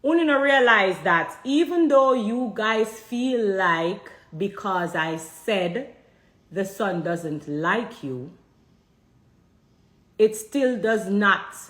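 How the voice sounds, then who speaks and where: A woman's voice: medium pitch, reverberant. A woman from Jamaica with a Jamaican accent, talking straight to her viewers and pressing them with repeated rhetorical questions. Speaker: vlogger addressing viewers. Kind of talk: direct-to-camera commentary.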